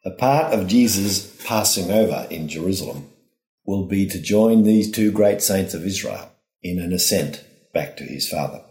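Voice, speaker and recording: A man speaking as a priest, delivering a formal, measured homily in deliberate phrases. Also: low voice, lively intonation, a little mellow. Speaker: priest giving a reflection. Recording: roomy, echoing sound.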